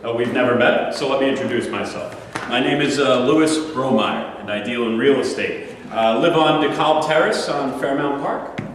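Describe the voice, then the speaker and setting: An actor reading a smooth-talking real estate dealer of about forty, hesitant and full of fillers. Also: male voice, moderate pace, slight background noise, lightly acted. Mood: pitching. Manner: hesitant, filler-laden salesman pitch. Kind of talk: staged reading. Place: large rehearsal studio. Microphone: far camera. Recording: echoey studio from far off.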